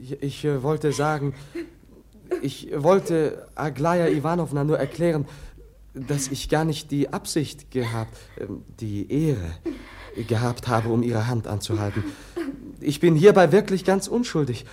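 An actor playing a gentle, guileless prince in a German radio drama, speaking in an earnest, polite, halting way. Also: male voice, medium pitch, expressive, close to an everyday voice. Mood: flustered, earnest. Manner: hesitant, stammering, earnest, polite.

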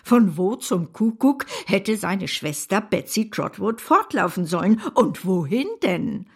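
A brusque, warm-hearted older aunt in a radio play. She gives orders in a gravelly voice that leaps up and down, emphatic and easily exasperated. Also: medium pitch, expressive, measured pace.

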